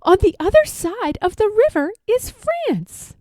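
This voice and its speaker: Storybook princess, thirteen: high, lilting, sweet and eager to obey female reader acting the girl. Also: very animated, a little slow, mellow, no echo, clean studio sound, exaggerated voice. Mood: delighted. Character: sweet, obedient thirteen-year-old princess, eager and childlike.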